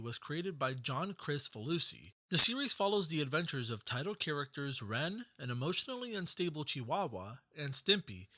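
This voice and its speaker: Bright, animated male documentary narrator. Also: fairly high pitch, no room sound. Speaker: video essay narrator.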